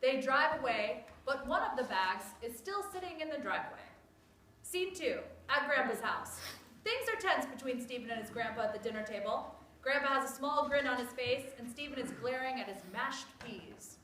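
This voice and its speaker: A woman narrates a script's stage directions aloud. Her voice is high-pitched, captured in an echoey room with slight background noise. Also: lively intonation, moderate pace, pushed and bright.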